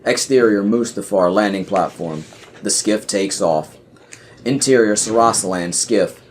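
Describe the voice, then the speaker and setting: A man's voice: on the low side, a little slow. Man narrating a script over faint noise. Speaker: podcast narrator. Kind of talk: scripted narration of screenplay directions.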